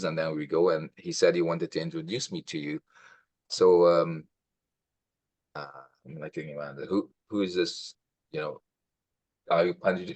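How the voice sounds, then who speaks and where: A man in his fifties from Trinidad, a karate instructor reminiscing casually in a halting way as an interview guest. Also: deep voice, no echo. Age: 50s.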